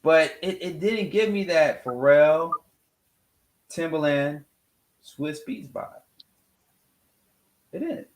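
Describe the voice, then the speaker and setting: Male show host, higher-pitched and expressive, casual music chat, emphatic repetition, echoey room. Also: slow, long pauses, a little hiss or hum. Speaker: radio morning show host.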